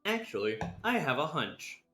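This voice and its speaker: A man's voice: on the high side, sing-song, moderate pace, over music. A man doing casual livestream game commentary with wry asides, sometimes reading dialogue aloud. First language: English. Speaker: game streamer.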